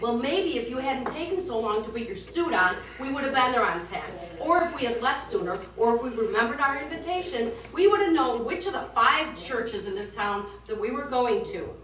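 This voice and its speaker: A woman's voice: on the high side, lively intonation, a little fast, hardly any pauses, a bit bright. A woman playing a defensive wife who reasons with and chides her husband in an amateur stage play. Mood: chiding. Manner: reasoning, mildly chiding, defensive. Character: defensive, placating wife, a wedding guest. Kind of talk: amateur one-act stage play acting. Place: indoor theatre studio, heard from afar. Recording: roomy distant stage recording, clear noise.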